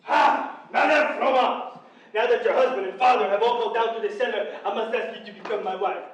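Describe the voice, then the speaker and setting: A man's voice: high-pitched, a little fast, natural voice. A man playing a grandiose, amorous French count in a stage farce. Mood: ardent, grandiose. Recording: very echoey hall, distant microphone.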